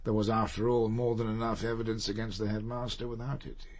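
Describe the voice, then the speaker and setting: An actor playing a proud, reserved older gentleman in a radio drama, speaking formally and deliberately, with guilt under the surface. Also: fairly low pitch, flat delivery. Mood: controlled regret.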